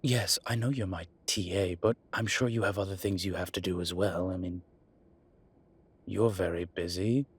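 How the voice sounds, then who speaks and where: A man playing an exasperated, evasive demon professor in an audio roleplay, speaking haltingly and defensively with muttered asides. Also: deep voice, steady tone, breathy edge. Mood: flustered, evasive. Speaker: voice actor. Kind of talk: audio roleplay acting, one-sided dialogue.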